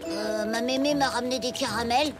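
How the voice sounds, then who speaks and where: A woman's voice: a little high, brisk, music in the background, lightly acted. A woman voicing a naive, eager young schoolboy sidekick, earnest and wide-eyed, in a French cartoon. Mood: awed, naive. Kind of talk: French animated TV comedy.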